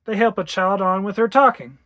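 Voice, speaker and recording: A woman's voice: medium pitch, fairly quick, fairly bright tone, pitched above the performer's own voice, close to an everyday voice. A woman voicing a breezy, teasing husband with a light, matter-of-fact manner in a story reading.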